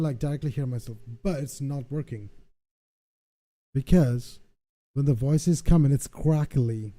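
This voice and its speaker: Casual streamer guy, very warm and dark, a touch high; frustrated muttering and cursing over tech trouble. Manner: frustrated, swearing, thinking aloud. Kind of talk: casual muttering while testing audio settings.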